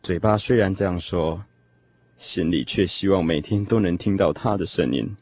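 An actor playing a lovesick young man, telling his lost first love in an expressive, emotional radio drama style. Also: low voice, normal speed, husky, no room sound. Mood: bravely tender. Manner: expressive, sighing, emotional storytelling. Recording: music playing behind the voice. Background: music.

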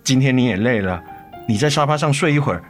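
A man acting a remorseful, devoted middle-aged father in a radio drama, gentle, tender and coaxing. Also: mid-range voice, lively intonation, fast, close to an everyday voice. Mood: tender, grateful. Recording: music under the voice.

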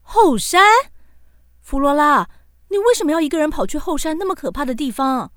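A woman acting as an anxious, gentle, protective older sister in a children's radio drama, speaking earnestly and expressively. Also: high-pitched, moderate pace, dry recording, clean studio sound. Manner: earnest, caring, expressive delivery.

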